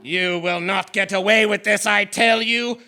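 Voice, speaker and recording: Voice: mid-range voice, fairly even intonation, a little slow, pushed and bright. A performer playing a pompous, indignant royal tax collector in a comic stage audio play, proclaiming with theatrical haughtiness. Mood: outraged, haughty. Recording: live theatre stage recording.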